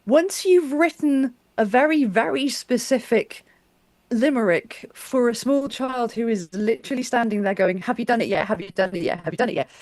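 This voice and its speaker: A woman's voice: fairly high pitch, moderate pace, a little mellow. A woman chatting casually as an interviewer, thoughtful and anecdotal, often repeating words as she works out her phrasing. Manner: hesitant, repeats words while thinking.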